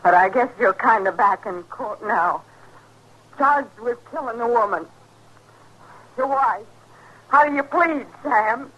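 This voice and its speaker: An American actress playing a worn-out, desperate frontier wife in a 1950s radio western, with halting, folksy speech. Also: female voice, on the high side, fairly bright tone, slightly rough, highs cut off, exaggerated voice. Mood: grimly accusing. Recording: noisy old radio recording.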